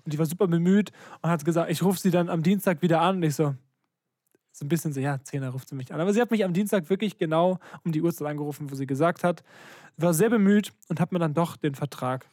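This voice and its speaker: A man's voice: on the high side, quick pace, darkish tone, no echo, studio quality. A young man co-hosting a podcast, telling stories in casual slangy speech and acting out the dialogue. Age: young adult. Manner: slangy, colloquial, acts out quoted dialogue. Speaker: podcast co-host. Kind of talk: casual chat, animated storytelling.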